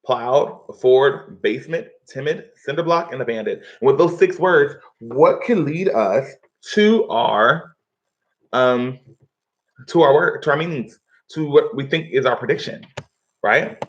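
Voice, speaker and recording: A man's voice: medium pitch, animated, unhurried. A man teaching a live online reading lesson from his living room, speaking instructively and often checking in with his pupils. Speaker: schoolteacher. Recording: dull, lo-fi livestream audio.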